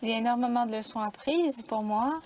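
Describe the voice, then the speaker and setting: A woman's voice: fairly high pitch, fairly quick, noisy background. A woman from French Canada co-hosting a panel discussion, talking in an earnest conversational way with fillers.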